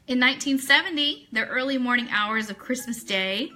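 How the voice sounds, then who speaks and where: A woman's voice: a little high, normal speed, bright, forceful tone. An American woman hosting an online radio show live, chatting with viewers and reading items aloud in a chatty manner. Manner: chatty, greets viewers by name. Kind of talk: live-stream chat mixed with reading aloud.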